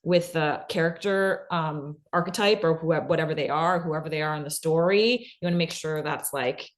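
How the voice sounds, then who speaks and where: A woman's voice: deep voice, moderate pace. A woman presenting in an informal workshop style, conversational and often checking in with the listener as she explains.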